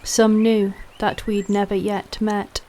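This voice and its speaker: Woman reciting a poem slowly and evenly. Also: mid-range voice, little pitch movement, warm tone. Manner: measured rhythmic reading.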